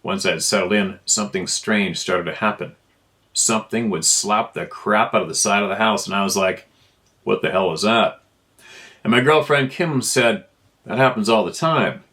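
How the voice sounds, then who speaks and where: Low-pitched man reading a story aloud. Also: normal speed, a bit bright. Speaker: narrator reading listener emails. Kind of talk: informal read-aloud of a first-person story.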